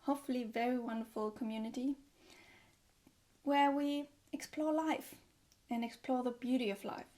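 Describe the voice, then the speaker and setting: A German woman with a German accent, vlogging in a gentle, hesitant way with frequent ums while baking in her home kitchen. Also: sits high, fairly even intonation, normal speed, echoey room. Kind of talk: soft-spoken vlog narration.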